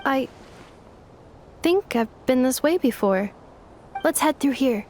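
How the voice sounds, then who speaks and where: An actress playing a gentle, tentative female companion in a video game. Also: high-pitched, lively intonation, slow, slight background noise. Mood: calm, tentative.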